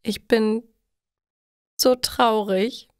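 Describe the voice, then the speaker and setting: A woman's voice: on the high side, fairly even intonation, drawn-out words, a little mellow, clear, resonant tone, no room sound, clean studio sound, pitched above the performer's own voice. A woman voicing a heartbroken, tearful young woman in a story, with an emphatic, wailing lament. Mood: tearful sadness.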